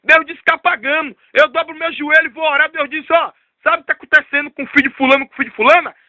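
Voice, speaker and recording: A man's voice: extremely high pitch, fairly even intonation, quick pace, pushed and bright, dry recording. A man, preaching an emphatic, repetitive testimony sermon. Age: 30s. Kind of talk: fervent storytelling sermon.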